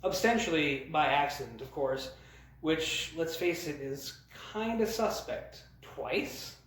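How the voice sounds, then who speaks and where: A man telling humorous personal stories as a host, in a theatrical, mock-grand way with dramatic pauses. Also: a little high, animated, fairly bright tone. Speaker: storyteller, host of a storytime series. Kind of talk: humorous personal storytelling to camera. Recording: very echoey, roomy sound.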